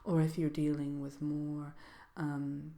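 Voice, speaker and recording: Woman acting as genteel sorceress giving a seminar, asmr roleplay, soft n slow, dark mellow voice, hesitant, kinda formal. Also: low-pitched, completely flat delivery, vocal fry, full character voice. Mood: calm, instructive. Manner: hesitant, gently formal, measured. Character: genteel female fairytale sorceress lecturing on magical companion animals. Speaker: seminar presenter.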